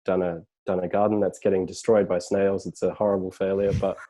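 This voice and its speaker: Man, low mellow voice, relaxed, rambling, casual podcast chat over a video call. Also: fairly quick, poor audio. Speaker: podcast guest, designer.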